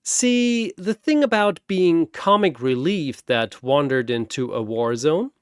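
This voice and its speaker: A man's voice: a little high, lively intonation, slow pace, clear, resonant tone, no echo, studio quality. A man voicing a cocky, battered comic pirate with a smug, quippy manner in a told story. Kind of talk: storybook-style character voice.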